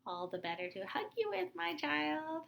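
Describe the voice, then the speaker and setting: A woman voicing a sly big bad male wolf in a children's story, in a loud, drawn-out storybook voice. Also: high-pitched, very animated, gravelly, pitched above the performer's own voice, exaggerated voice.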